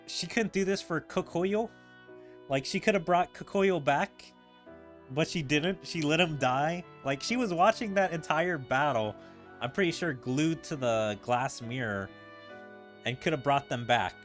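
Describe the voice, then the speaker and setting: A male gaming streamer giving casual commentary in a rambling way, thinking out loud as he goes. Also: fairly high pitch, animated, unhurried. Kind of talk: casual game commentary, reading dialogue aloud. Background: game music.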